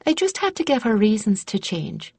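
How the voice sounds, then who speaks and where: A woman voicing a modest, earnest young female trainee in a fantasy audiobook, measured and sincere. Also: medium pitch, big pitch swings, creaky, darkish tone, slightly rough, dry recording, pitched above the performer's own voice, exaggerated voice.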